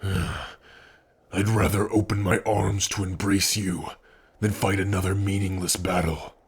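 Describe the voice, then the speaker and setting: A big, devoted orc lover voiced by a man in an intimate ASMR roleplay. His voice is very husky and low, and he takes his time over each phrase. The manner is tender and earnest, gentle despite his size. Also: heavily acted. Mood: tender, proud. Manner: tender, earnest, slow and breathy with sighs.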